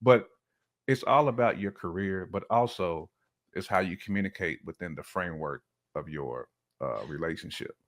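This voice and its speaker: A man's voice: low-pitched, normal speed. A man giving his opinions in casual conversation as a podcast guest, earnest and emphatic with informal phrasing.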